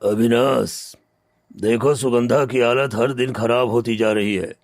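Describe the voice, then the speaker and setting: A man's voice: mid-range voice, steady tone, a little slow, a little mellow, no room sound, clean studio sound, close to an everyday voice. A man playing a callous, scheming elder brother and rich moneylender in a cartoon story, grave and commanding. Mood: feigned concern. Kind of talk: cartoon moral story acting.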